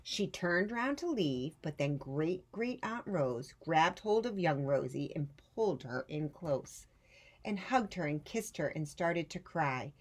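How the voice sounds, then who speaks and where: A woman reading a children's storybook aloud with measured, dramatic emphasis. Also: low voice, lively intonation. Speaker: storytime reader. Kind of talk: expressive children's storybook narration.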